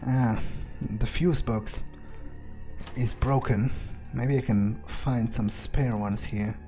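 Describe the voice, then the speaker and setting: A man's voice: mid-range voice, steady tone, slow, dark tone, soft background music. A man doing an in-character game playthrough as a jaded, cocky vampire, thinking aloud in a hesitant, muttering, sweary way. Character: jaded, cocky vampire outcast, musing to himself. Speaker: video game player speaking in character.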